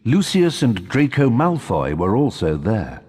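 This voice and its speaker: A man's voice: on the low side, huge pitch swings, unhurried, a little mellow, breathy edge. A man reading scripted storybook narration in a recording with long reverb.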